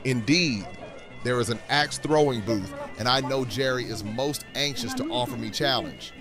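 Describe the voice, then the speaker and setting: A man's voice: mid-range voice, normal speed, over music, close to an everyday voice. An actor playing a friendly, theatrical kingly man with pompous old-fashioned diction in an audio drama. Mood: grand, cordial. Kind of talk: fan audio drama acting.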